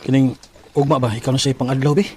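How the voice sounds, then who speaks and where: An actor with a Cebuano Filipino accent playing an earnest, easily hurt, lovelorn worker in a Bisaya radio drama. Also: male voice, mid-range voice, fairly even intonation, fast talker, darkish tone, a little hiss or hum, natural voice. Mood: earnest, shy.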